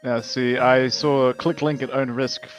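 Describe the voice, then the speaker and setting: A man chatting casually on a livestream, protesting in quick repeated words. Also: medium pitch, flat delivery, faint music behind.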